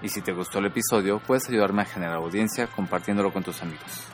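Deep-voiced man hosting a podcast. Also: soft background music. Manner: measured, explanatory. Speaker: podcast host. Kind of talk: scripted podcast narration.

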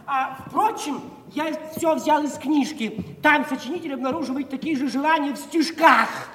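A high-pitched, mocking office clerk, declaiming taunts with gleeful theatrical relish. The voice rings through a long, echoing hall, distant from the microphone. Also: normal speed, pushed and bright. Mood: gleeful mockery. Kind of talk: theatrical stage-style audio drama acting. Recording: echoey hall, far from microphone.

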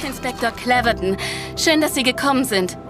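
A friendly nun with a fairly high voice, played by a woman in an audio drama. She explains in a conversational, slightly hesitant manner, sounding earnest and concerned, with music clearly behind her. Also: expressive, moderate pace, natural voice. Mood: friendly, explaining. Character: friendly, concerned Nigerian nun working for peace. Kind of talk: interactive audio drama dialogue.

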